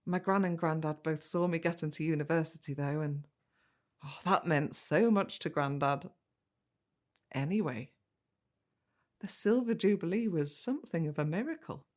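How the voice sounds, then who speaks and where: A woman's voice: low-pitched, normal speed, long pauses, darkish tone, close to an everyday voice. An actress playing a young woman in an audio drama, a granddaughter who narrates and talks with her family. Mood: reflective. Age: young adult. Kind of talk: first-person narration and dialogue in audio drama.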